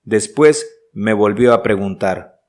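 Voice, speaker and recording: An actor playing an earnest, uneasy traveller who narrates his diary in measured, literary style for a radio drama. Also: on the low side, darkish tone.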